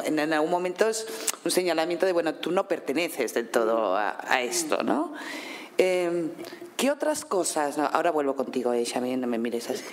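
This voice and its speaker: A panel moderator asks probing questions, reshaping them mid-sentence while searching for the right words. The voice sits at a middle pitch and carries a long hall reverb from the conference room.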